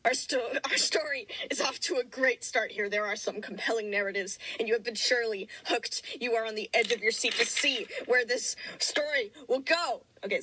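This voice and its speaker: A woman's voice: fairly high pitch, sharp tone, no room sound, low-quality recording. A woman giving a mock-dramatic story reading with casual commentary, sarcastic and mock-grandiose in manner. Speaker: reader of own childhood fanfiction.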